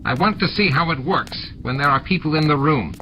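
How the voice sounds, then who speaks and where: A man voicing a bossy, scheming old male alien patriarch in a querulous, drawn-out, imperious way. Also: on the high side, voice pushed up, natural voice. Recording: noisy audiobook with added sound effects.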